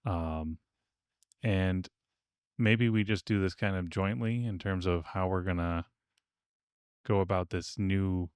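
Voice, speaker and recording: A man's voice: low voice, steady tone, slow pace, pauses often, darkish tone, smooth, no room sound, clean studio sound. A man co-hosting a podcast in a casual, conversational discussion. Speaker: podcast co-host.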